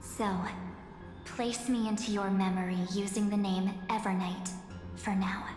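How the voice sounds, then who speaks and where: Woman as mysterious, guarded female messenger, grave and deliberate, reverberant hall sound over music. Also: medium pitch, fairly even intonation, natural voice. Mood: grave, urgent. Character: solemn, mysterious, guarded female bearer of warnings. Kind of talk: dramatic game cutscene monologue.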